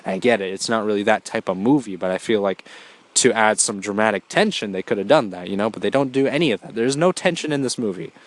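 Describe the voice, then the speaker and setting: A man's voice: on the low side, fast talker. A man hosting his own show, talking casually through a movie review while congested from a cold, rambling with lots of fillers and the odd swear. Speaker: podcast host.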